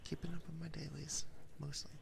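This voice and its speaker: A woman whispers softly and playfully in a casual ASMR chat, very close to a binaural microphone, with a breathy, intimate delivery. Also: low voice, level tone, brisk, noisy background. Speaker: streamer and ASMR host. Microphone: close binaural ear microphone.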